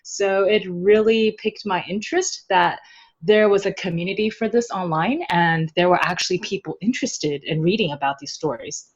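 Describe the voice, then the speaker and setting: Female podcast guest, thirties, medium pitch, lively self-deprecating storyteller, slightly dulled audio. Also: normal speed, husky, dull audio. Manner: animated, self-joking, laughs often. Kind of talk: casual chat, personal storytelling.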